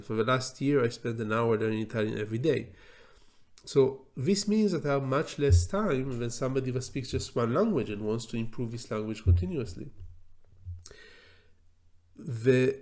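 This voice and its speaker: A middle-aged man, a native Hebrew speaker from Israel, giving a slow, thoughtful solo talk on learning English, with frequent pauses and fillers. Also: mid-range voice, a little mellow. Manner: thoughtful, many fillers and restarts, slow deliberate phrasing. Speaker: law professor teaching English learning tips. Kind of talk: solo educational talk to camera.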